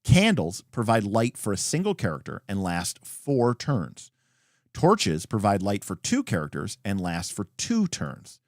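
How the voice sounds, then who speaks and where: Low-voiced man reading aloud with lively intonation. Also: unhurried, no room sound, clean studio sound. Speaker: host. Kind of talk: reading a game rulebook aloud with brief asides.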